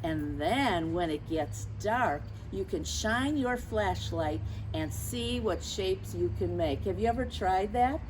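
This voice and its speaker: A woman's voice: mid-range voice, lively intonation, slow, few pauses. Woman reading to young children, noisy outdoor recording. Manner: teacherly, addresses children directly with questions and reminders. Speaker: story time reader for children. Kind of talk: read-aloud storytelling to young children with chatty asides. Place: outdoors near lawns being mowed. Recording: outdoor noise, mower and birds.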